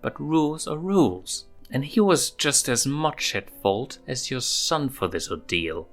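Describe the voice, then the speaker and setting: A man's voice: medium pitch, expressive, measured pace, a bit bright, soft background music, voice pushed up. A man voicing a stern, motherly lioness with a courteous, scolding manner in a storybook reading. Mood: polite, reproachful.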